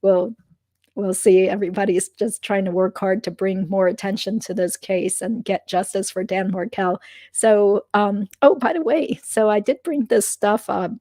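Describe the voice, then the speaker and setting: A woman hosting a legal commentary livestream, chatting casually with fillers and asides. Her voice is slightly croaky. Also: medium pitch, fairly even intonation, normal speed, dark tone, dry recording.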